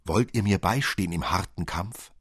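A man voicing a heroic nutcracker commander in a fairy tale, rallying troops in a loud, declamatory way. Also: sits low, normal speed, breathy edge, no echo, studio quality. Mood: rousing, proud.

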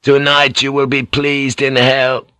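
Male narrator as swaggering Portuguese captain-general in an adventure audiobook: hot-tempered, forceful, raised pitch, dry sound. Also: moderate pace, no room sound, close to an everyday voice. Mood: menacing. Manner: heated, forceful delivery.

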